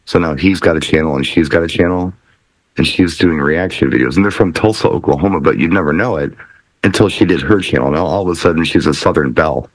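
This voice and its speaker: Male host for a casual DJ panel show; very deep voice, dry recording. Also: quick pace, dry recording, rough recording.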